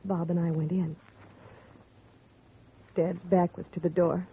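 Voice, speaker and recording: A grieving young daughter on old radio. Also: female voice, on the low side, flat delivery, takes it slowly, husky, heavily acted. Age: young adult. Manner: soft and earnest, recounting quietly. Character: grieving young woman, a murdered man's daughter. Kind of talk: 1950s radio drama acting. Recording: tinny lo-fi 1950 radio broadcast with faint hiss.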